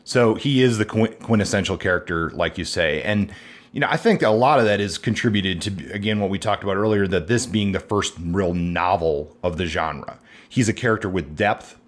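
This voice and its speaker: Deep-voiced man hosting a book podcast. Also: normal speed. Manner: hesitant, many fillers, steers the discussion. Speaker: podcast co-host. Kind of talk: casual literary discussion.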